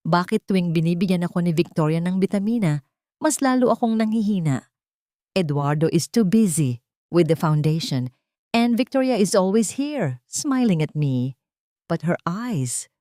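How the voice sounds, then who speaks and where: A woman's voice: fairly low pitch, dark tone, dry recording, clean studio sound, close to an everyday voice. Woman reading as frightened, weakening Filipino wife. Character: frightened, weakening wife writing in her diary.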